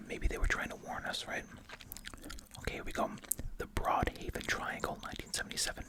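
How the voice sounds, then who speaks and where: An ASMR host whispers right up at the microphone in a breathy, unvoiced voice. The delivery mixes reading aloud with casual chatty asides, with gum chewing through the words. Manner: chewing gum while talking.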